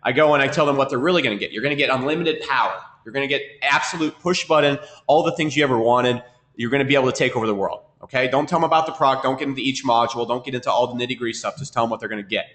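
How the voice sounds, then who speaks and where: Rapid-fire man teaching a webinar, stuffy from a cold, mid-pitched, keeps asking okay. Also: a bit bright. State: congested from a cold, sniffling. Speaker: marketing trainer.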